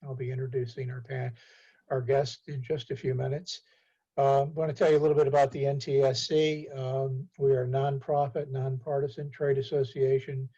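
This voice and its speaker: A man hosting a virtual roundtable, introducing speakers with frequent filler pauses. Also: mid-range voice, husky. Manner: many filler pauses, steady and cordial. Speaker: host and executive director. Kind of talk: event introduction. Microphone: video call. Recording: muffled video call audio.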